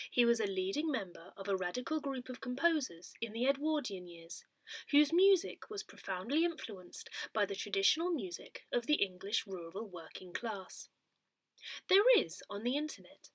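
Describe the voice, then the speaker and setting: A woman reading a scripted newsletter aloud in a measured, expressive way. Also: medium pitch, no echo. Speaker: newsletter reader.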